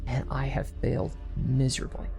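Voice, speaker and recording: A man's voice: mid-range voice, monotone, moderate pace, gravelly, warm tone, soft background music. A man speaking as a guest author in a casual interview, explaining his process with rhetorical questions and quoted asides to himself. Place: remote online recording session.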